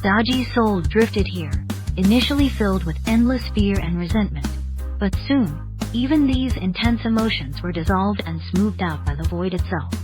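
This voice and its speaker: Woman narrating fantasy over clear music. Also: mid-range voice. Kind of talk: scripted fantasy story narration.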